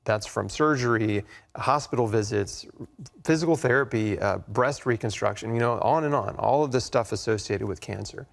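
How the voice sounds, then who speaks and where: Man with creaky, mid-pitched voice. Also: moderate pace. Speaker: interview guest, health author. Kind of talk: explanatory talk show interview.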